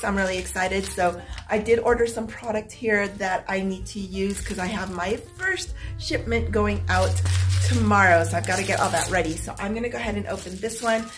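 A middle-aged woman filming a casual unboxing vlog at home, upbeat and chatty, her voice slightly hoarse as if she is losing it. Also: mid-range voice, moderate pace, soft background music. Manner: chatty and upbeat, clears her throat now and then. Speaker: vlogger. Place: small home room with stacked boxes, indoors.

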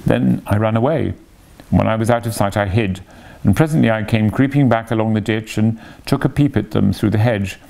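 A man reading a storybook aloud and voicing a clever, modest male mole telling a lively story. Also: on the low side, normal speed, vocal fry.

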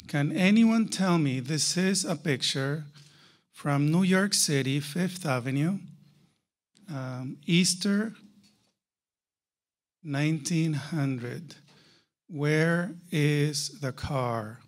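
Deliberate, higher-voiced man giving a keynote. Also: lively intonation. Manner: emphatic, asks rhetorical questions and repeats key phrases. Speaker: keynote speaker. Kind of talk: conference presentation to a live audience.

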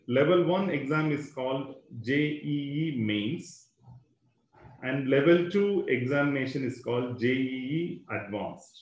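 A man's voice: mid-range voice, reverberant, slight background noise, poor audio. A man, a school educator explaining exams in an online webinar, talking into a computer microphone with many fillers and a habitual "right?".

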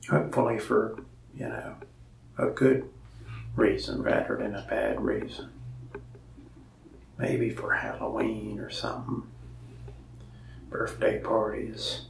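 A young man whispers his way through a soft-spoken ASMR roleplay as a shopkeeper, his low voice heavy with gravelly fry. He talks in slow, rambling, folksy asides. The sound is roomy and a little distant from the mic. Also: level tone, a little hiss or hum. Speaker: shop salesman in a roleplay.

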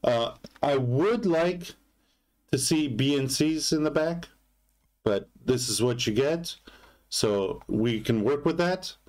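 A man giving a casual product demonstration as a reviewer, speaking haltingly in short phrases. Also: mid-range voice, lively intonation, a little mellow.

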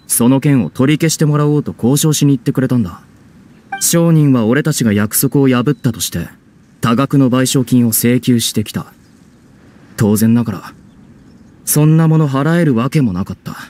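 An actor playing a guilt-ridden young man of a theatre troupe in a Japanese video game, speaking gravely and haltingly with remorse. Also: mid-range voice, warm tone, a little hiss or hum, natural voice.

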